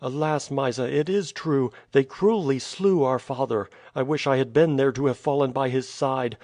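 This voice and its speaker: A man's voice: medium pitch, normal speed, a little mellow, no echo, studio quality, voice pushed up, close to an everyday voice. A man playing a grave young brother. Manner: solemn, earnest.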